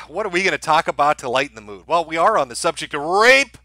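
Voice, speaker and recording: A man hosts a livestream with casual, mocking commentary and plenty of swearing. His voice is lively, swinging widely in pitch, with a sharp, bright edge. The sound is dry and close, and his delivery is sardonic. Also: fast, no room sound. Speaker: livestream host.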